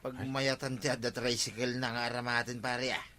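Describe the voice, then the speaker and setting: A man's voice: medium pitch, level tone, a little fast, bright, forceful tone, slight background noise, close to an everyday voice. A man with an Ilocano accent playing an easygoing, scheming tricycle driver and chummy drinking buddy in a comedy drama. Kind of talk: comedy radio-style drama acting.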